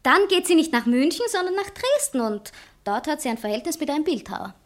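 A woman's voice: high-pitched, sing-song, a little fast. An actress playing a proud, impulsive society woman writer in a satirical radio play, theatrical, by turns coquettish and indignant.

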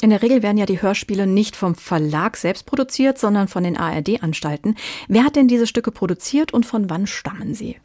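A woman's voice: mid-range voice, expressive, brisk, a little mellow, dry recording, studio quality. A woman presenting a review programme, moving between interview questions and scripted announcements. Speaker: radio presenter.

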